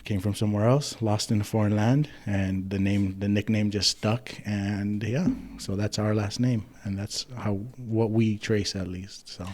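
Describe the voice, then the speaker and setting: A man's voice: on the low side, steady tone, a little slow, few pauses, darkish tone. A man from Hawaii, a podcast guest who owns a clothing company. He tells family history in an easygoing, rambling way, with many ums and restarts. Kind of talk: casual storytelling about family history.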